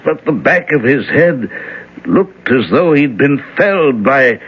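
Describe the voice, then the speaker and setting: An actor playing a worried reverend and father in a 1970s radio drama, speaking in a halting, hesitant way. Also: medium pitch, animated, husky. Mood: uneasy. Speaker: reverend character. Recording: lo-fi vintage radio broadcast.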